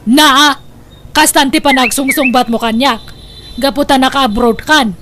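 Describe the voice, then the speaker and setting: A noisy radio drama recording carries a woman acting as a grasping middle-aged aunt with an Ilocano accent. She is high-voiced and sharp, scolding and gossiping in a nagging way. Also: fairly even intonation, a little slow. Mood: angry. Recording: clear background noise.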